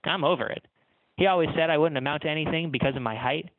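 A man's voice: a little high, fast, no echo, narrow, tinny audio, close to an everyday voice. A man voicing a tiny, drunk pianist, wounded and self-pitying, given to emotional outbursts, in a comic story. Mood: confiding, bitter.

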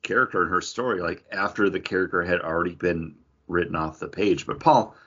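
A man's voice: sits low, brisk, fairly bright tone, slightly rough. A man co-hosting a podcast in an informal, conversational style. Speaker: podcast co-host and editor. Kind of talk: casual chat. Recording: dull, lo-fi podcast sound.

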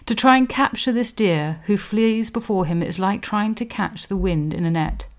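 A woman presenting a scripted history explainer in a measured, scholarly manner, giving emphasis to quotations. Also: mid-range voice. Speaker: podcast host, historian.

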